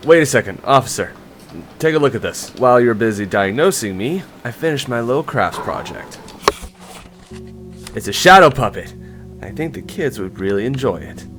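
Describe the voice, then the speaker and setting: A man's voice: medium pitch, animated, normal speed, lightly acted. A man voicing a folksy, oddball patient in a visual novel game, talking in an informal, rambling, slangy way. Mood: upbeat, goofy. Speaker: video game character. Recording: loud music behind the voice. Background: loud game music.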